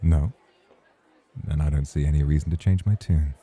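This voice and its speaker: A man's voice: extremely low pitch, normal speed, mellow, husky, a faint crowd. A man acting in an ASMR roleplay as a suave, teasing club host, formal and flirtatious in his way of talking.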